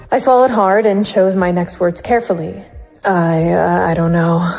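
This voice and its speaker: A woman's voice: medium pitch, darkish tone, close to an everyday voice. A woman playing a brooding, conflicted private investigator narrating a noir audio drama. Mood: awkward, gentle. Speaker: narrator and lead actor.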